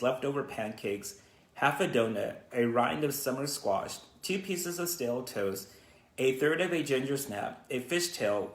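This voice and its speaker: A man reading a children's story aloud as an educator, sometimes stumbling and repeating words. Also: medium pitch. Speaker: school educator reading to students. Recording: roomy, echoey sound.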